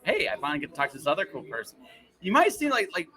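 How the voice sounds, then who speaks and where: A man's voice: fairly high pitch, huge pitch swings, rapid-fire, bright, forceful tone. A man hosting a casual, enthusiastic interview, rambling and repeating phrases for emphasis. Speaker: podcast host interviewing a guest.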